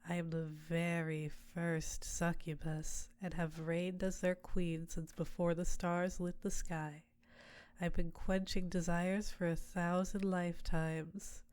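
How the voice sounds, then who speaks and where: Ancient succubus queen played by a woman, sultry, coaxing, soft whispers, lowish voice, very slow audio-drama delivery. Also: little pitch movement, clear, resonant tone. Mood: seductive. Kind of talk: audio roleplay.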